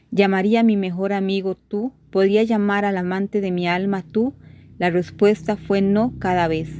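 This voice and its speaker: A woman reading a devotional course aloud, sharing testimonies in a steady, measured way with gentle emphasis. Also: mid-range voice.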